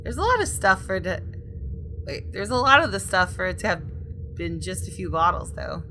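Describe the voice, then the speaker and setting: A woman gaming host reading visual novel narration aloud in an expressive, storytelling way with casual asides. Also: medium pitch, normal speed, slight background noise.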